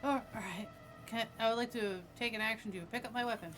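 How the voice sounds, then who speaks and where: A woman's voice: fairly high pitch, fairly even intonation, fast, a bit bright, faint music behind. A woman chatting casually as a player in a tabletop roleplaying game. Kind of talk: casual chat.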